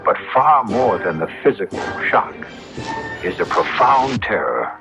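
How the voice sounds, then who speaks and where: An actor playing an ominous storyteller, hosting a radio horror tale with dramatic, deliberate narration. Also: male voice, on the low side, very animated, music in the background. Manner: dramatic, deliberate rhetorical questioning.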